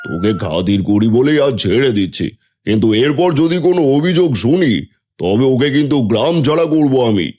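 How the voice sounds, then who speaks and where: Stern, expressive village headman. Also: mid-range voice, a little fast. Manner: admonishing, warning. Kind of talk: Bengali fairy-tale cartoon acting.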